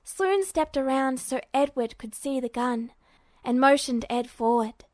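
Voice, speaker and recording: A woman's voice: high-pitched, unhurried, a little mellow, dry recording. A woman reading a scripted story aloud as narrator.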